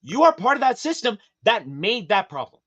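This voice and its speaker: A man with a high, slightly feminine voice, husky and a little breathy, delivers an emphatic, profane rant. His pitch swings widely, jumping up in sudden outbursts and dropping low for serious points. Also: big pitch swings, moderate pace, a bit bright, lo-fi sound. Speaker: podcast guest. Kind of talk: casual podcast rant.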